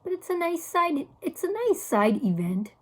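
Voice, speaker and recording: A woman's voice: very high-pitched, sing-song, moderate pace, pitched above the performer's own voice. A woman voicing a cheeky, gleefully gruesome boy who is an enthusiastic family friend in a children's storybook.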